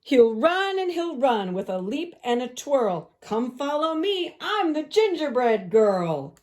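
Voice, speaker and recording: A boastful little gingerbread girl from a storybook, played by a woman reader. Her voice sits high and swings up and down in taunting, singsong rhyme. She sounds cheeky and sure of herself. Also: sing-song, slow, darkish tone, exaggerated voice. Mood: triumphant.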